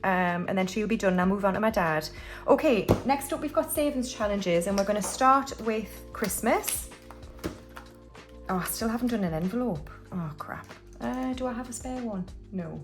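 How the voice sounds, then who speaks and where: A woman's voice: mid-range voice, expressive, moderate pace, over music. Chatty British woman in her forties. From United Kingdom. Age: 40s. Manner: chatty and rambling, with frequent restarts and fillers. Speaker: budgeting vlogger. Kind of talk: casual chat while stuffing cash envelopes.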